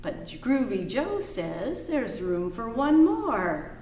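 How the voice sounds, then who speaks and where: A woman reading a picture book aloud to small children at a library storytime, singing the refrains. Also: on the high side. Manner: slow, singsong, animated for small children. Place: library room. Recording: echoey room, faint background noise.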